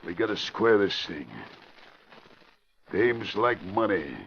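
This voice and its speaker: An actor playing a short-tempered gangster boss who barks orders, in 1940s radio acting. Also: male voice, fairly low pitch, expressive, takes it slowly, fairly bright tone, slight background noise, heavily acted. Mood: commanding. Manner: barking, bullying. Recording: dull vintage radio broadcast.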